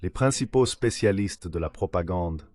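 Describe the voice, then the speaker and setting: A man with a low-pitched voice reads a translated political discussion as a voice-over. His delivery is measured and sounds scripted. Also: animated, darkish tone.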